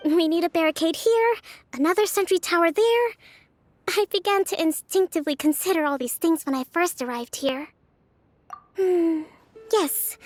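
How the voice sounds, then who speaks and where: Young priestess strategist, very high girlish pitch, gentle, introverted, formal, soft-spoken, video game character, faint music behind. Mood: thoughtful, focused.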